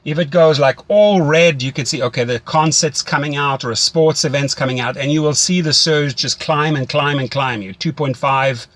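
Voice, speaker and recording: A man's voice: on the high side, normal speed, few pauses, a bit bright, no echo. A man mentoring a driver in a conversational, instructive way, often repeating phrases for emphasis. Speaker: rideshare driving coach.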